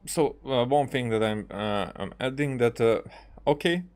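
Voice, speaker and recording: A man giving casual, rambling gameplay commentary as a streamer, halting and often repeating his words. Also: mid-range voice, a little mellow. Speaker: game streamer. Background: game music.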